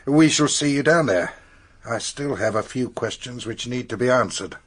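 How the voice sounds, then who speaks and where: A police superintendent in a radio drama. He has a husky, mid-pitched voice, and probes in a measured, formal, businesslike way. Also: animated. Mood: measured, probing.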